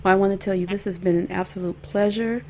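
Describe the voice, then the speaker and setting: A woman's voice: mid-range voice, fairly even intonation, fast, a little mellow. A woman in her thirties hosting a faith podcast, giving thanks and wrapping up an interview in an earnest way. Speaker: podcast host. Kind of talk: interview wrap-up and outro.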